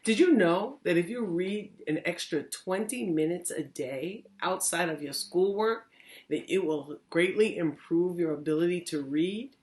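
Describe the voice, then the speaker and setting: A woman hosting storytime, reading a children's story aloud with expressive, deliberate phrasing. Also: lowish voice. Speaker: storytime host, reading teacher.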